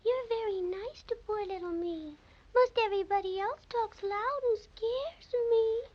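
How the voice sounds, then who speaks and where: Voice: extremely high pitch, measured pace. A whimpering, scared kitten. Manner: whimpering and whiny. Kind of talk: 1930s radio drama acting. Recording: dull old radio recording.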